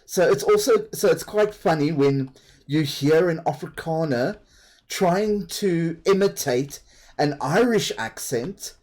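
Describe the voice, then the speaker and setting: A man's voice: on the high side, expressive, slow pace, darkish tone. A man from South Africa with a South African accent, chatting in a rambling way while streaming a game and laughing easily. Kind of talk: casual chat while playing a game.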